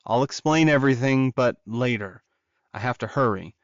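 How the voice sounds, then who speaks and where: A man's voice: mid-range voice, moderate pace, no room sound, muffled recording, close to an everyday voice. Man as a commanding werewolf alpha, dry sound. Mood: firm, hurried. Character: commanding male werewolf alpha, brisk and composed.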